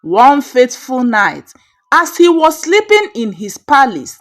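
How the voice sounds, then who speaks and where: A woman with a Nigerian accent narrating a scripted folktale in a dramatic, measured storytelling manner. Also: high-pitched, big pitch swings.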